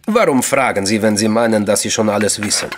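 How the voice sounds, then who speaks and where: An actor playing a cool, commanding secret agent in a crime radio drama, curt and authoritative. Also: male voice, on the low side, brisk, natural voice. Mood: cool, guarded.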